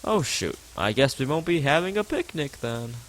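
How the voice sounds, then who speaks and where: A man voicing an upbeat, decisive schoolgirl from a visual novel, chatty and quick to suggest plans. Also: fairly high pitch, sing-song, a little hiss or hum, voice pushed up, low-quality recording. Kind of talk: character dialogue read aloud from a game. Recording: loud music and rain behind the voice.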